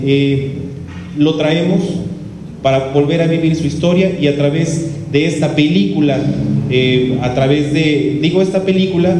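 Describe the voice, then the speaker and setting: A man's voice: fairly high pitch, dark tone. A man in his thirties with a Mexican accent, presenting unscripted at a press conference. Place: large indoor room with seated audience. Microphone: handheld microphone. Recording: very echoey hall, faint noise.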